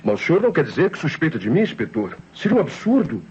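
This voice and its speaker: A man's voice: a little high, very animated, fast talker, a little mellow, breathy edge, heavily acted. A man with a Brazilian accent playing an anxious young novelist under suspicion in an old radio drama, defensive and hesitant. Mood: nervous, defensive. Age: young adult. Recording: dull, noisy old radio drama recording.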